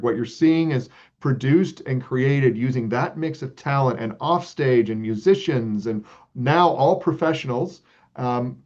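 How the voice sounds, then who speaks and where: A man of middle pitch talks through his answers in an earnest, reflective way and keeps filling pauses with ums and uhs. The audio is slightly muffled, with a lo-fi edge. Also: a little mellow. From Texas. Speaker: arts organization leader, guest. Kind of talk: relaxed interview answers.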